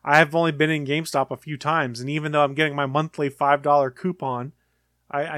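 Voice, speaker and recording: A man's voice: mid-range voice, a bit bright, no echo, studio quality. A man co-hosting a casual gaming podcast, talking in long, rambling explanations. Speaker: podcast co-host. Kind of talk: casual chat.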